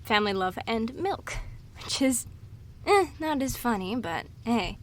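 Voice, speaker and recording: A woman's voice: a little high, very animated, a little slow, slight background noise. A woman in a casual, chatty, scatterbrained monologue, rambling through trivia with an amused manner. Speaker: audio roleplay performer playing a rambling girlfriend.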